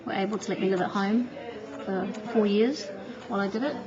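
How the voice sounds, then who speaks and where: A woman's voice: medium pitch, brisk. Chatty New woman on a muffled phone line. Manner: chatty, laughs easily, reflective. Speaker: interviewee, teacher. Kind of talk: casual phone interview. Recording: muffled phone-call recording with crowd noise behind.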